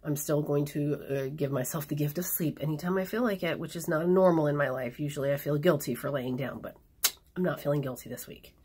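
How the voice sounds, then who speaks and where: A woman chatting in a casual video diary, a little hoarse, frank and rambling with plenty of fillers. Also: low-pitched, fairly quick, mellow, a little hiss or hum. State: hoarse after surgery. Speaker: vlogger.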